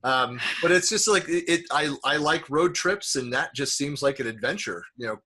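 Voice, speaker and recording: A man's voice: fairly high pitch, animated, moderate pace, bright, forceful tone. A man hosting a casual interview over a video call, chatty and rambling, with frequent restarts. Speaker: host.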